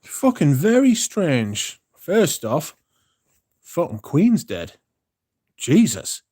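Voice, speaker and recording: A man's voice: fairly high pitch, wildly swooping pitch, very slow, mellow, slightly rough. A man talks into his phone on a casual livestream, sounding tired. He rambles, swears freely and often starts sentences over. The recording is dry and close, with no room echo. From Britain. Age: 30s. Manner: rambling, sweary, frequent restarts. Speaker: streamer.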